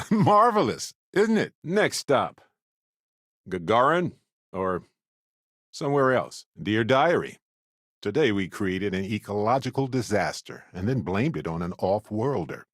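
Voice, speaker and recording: A man playing a friendly, wry, quick-witted space explorer companion in a video game, with a playful and expressive delivery. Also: on the low side, dry recording, studio quality, heavily acted. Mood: excited, bright, then friendly, casual. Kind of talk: acted video game dialogue lines.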